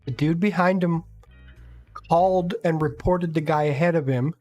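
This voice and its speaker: Higher-voiced male co-host in casual banter. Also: steady tone, moderate pace, a little mellow, faint music behind.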